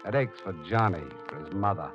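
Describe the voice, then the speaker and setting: An actor playing an earnest radio drama narrator, speaking gravely and deliberately in a theatrical style. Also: male voice, low voice, animated. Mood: solemn, stirring. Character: earnest dramatic male narrator addressing the heroine as you. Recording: dull old radio recording, music bed.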